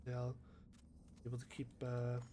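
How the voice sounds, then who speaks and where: A man with a cold talks casually through a gaming session, sounding sniffly and stuffed up. His voice stays even and fairly flat, with little rise or fall. He is hesitant, pausing on fillers and restarting his sentences. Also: mid-range voice, background noise. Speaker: gaming YouTuber. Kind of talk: casual rambling commentary while playing.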